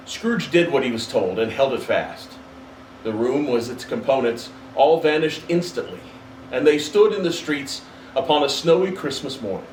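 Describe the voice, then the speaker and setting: A man reading a classic story aloud as narrator, in an expressive storybook style. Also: medium pitch, normal speed. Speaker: story reader. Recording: roomy, distant mic, faint hiss.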